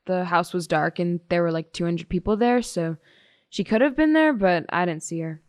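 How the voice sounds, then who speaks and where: Woman acting guarded, bitter teenage girl, low-pitched. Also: moderate pace, a little mellow, no room sound, clean studio sound, close to an everyday voice. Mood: defensive. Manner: guarded, curt, sardonic. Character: bitter, blunt high school girl, estranged friend of missing girl. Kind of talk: naturalistic audio drama police testimony.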